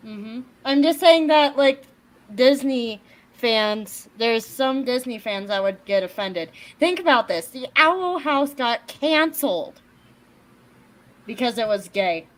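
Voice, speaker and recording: Giggly slow-talking woman panelist. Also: fairly high pitch, lively intonation, rough recording. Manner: giggly, laughs often. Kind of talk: casual panel chat. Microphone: online call studio.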